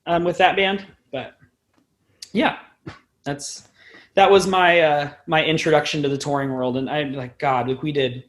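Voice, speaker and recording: Rambling, self-deprecating young man, higher voice. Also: fairly even intonation, measured pace, fairly bright tone. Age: 20s. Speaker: podcast guest, band musician. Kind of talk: casual interview chat.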